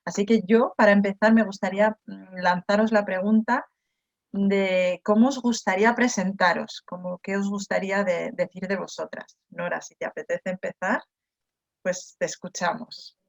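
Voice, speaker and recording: Halting woman host, dull lo-fi sound. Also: medium pitch, steady tone, creaky. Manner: halting, many fillers and restarts. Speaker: host, moderator. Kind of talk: casual introduction of a group talk.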